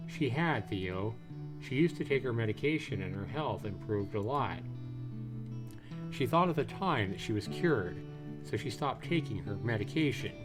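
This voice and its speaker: A gentle young father played by a man in a visual novel game, speaking in a lowish voice. He is patient and soothing with his child, over clearly audible guitar music. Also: expressive, normal speed, close to an everyday voice. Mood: consoling. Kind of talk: reading visual novel dialogue aloud. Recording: music behind the voice.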